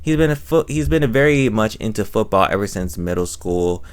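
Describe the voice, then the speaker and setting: A man's voice: fairly low pitch, animated, a little fast, clean tone. A man hosting a casual, rambling recap and reaction, emotive and slangy, often repeating phrases for emphasis. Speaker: host reviewing an audio drama.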